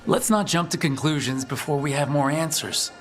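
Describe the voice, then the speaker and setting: An actor playing a friendly, level-headed team leader in a video game, speaking in a measured, conversational way. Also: male voice, fairly high pitch, expressive, soft background music, lightly acted. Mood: steady, serious. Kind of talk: video game cutscene acting.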